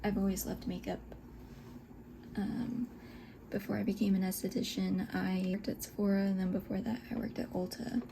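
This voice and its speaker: A woman in her twenties, born in Scotland, chatting softly in a breathy half-whisper while doing her makeup at home, unhurried and full of little fillers. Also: medium pitch, flat delivery, a little hiss or hum. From Scotland, raised in Texas. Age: 20s. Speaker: esthetician and beauty vlogger. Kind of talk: soft whispered get-ready-with-me chat.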